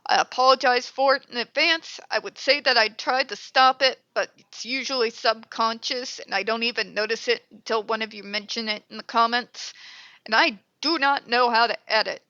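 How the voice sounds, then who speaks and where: A stuffed-up woman talks through a headset, blunt and exasperated, cursing without a second thought. Her voice is bright and on the high side. The sound is dry and a little dull. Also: moderate pace, dry recording. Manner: blunt, exasperated, swears freely. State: stuffed up, congested. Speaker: commentary channel host. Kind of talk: ranting commentary.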